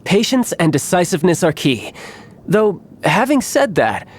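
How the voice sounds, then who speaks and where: A man's voice: high voice, sing-song, unhurried, heavily acted. An actor playing a cocky, battle-hungry young male warrior in a video game, theatrical, teasing and self-assured. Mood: playful, confident. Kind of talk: scripted video game character voice lines.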